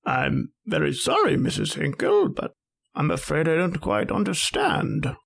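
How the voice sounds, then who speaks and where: A woman performs a deferential household servant in an old-time radio horror play. The voice is low, extremely gravelly and husky, rising and falling expressively. The manner is formal, polite and puzzled. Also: wildly swooping pitch, unhurried, heavily acted.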